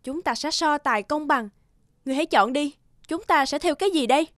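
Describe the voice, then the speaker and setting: A woman voicing a proud, commanding goddess in an animated fairy tale, stern but fair and dignified. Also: high voice, quick pace, no room sound, studio quality, close to an everyday voice. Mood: calm, dignified. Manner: dignified, authoritative.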